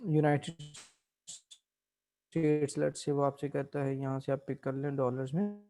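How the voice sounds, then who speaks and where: A man's voice: mid-range voice, measured pace, faint music behind. A man, explaining spreadsheet tasks step by step as an instructor, mixing in English terms. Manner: mixes English terms into explanations, steady teaching pace. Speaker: Excel instructor. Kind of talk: screen-recorded software tutorial.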